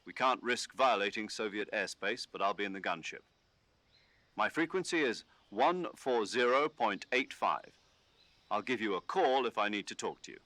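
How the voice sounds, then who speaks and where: A man voicing a calm commanding officer who gives instructions over the radio in a game. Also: on the low side, no room sound. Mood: steady. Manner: measured, instructive briefing tone. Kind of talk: game dialogue.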